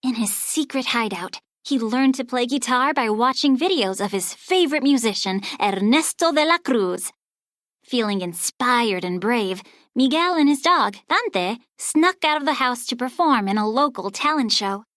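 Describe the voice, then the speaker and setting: High-voiced woman reading a children's storybook. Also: expressive, normal speed, a bit bright, no room sound, clean studio sound. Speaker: storybook narrator. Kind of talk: scripted children's read-aloud storytelling.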